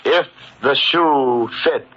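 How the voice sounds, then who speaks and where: An actor playing a solemn, ominous host narrating mystery tales in old-time radio horror style, with dramatic pauses. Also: male voice, mid-range voice, very noisy, close to an everyday voice. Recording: muffled old radio recording, loud organ. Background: organ music.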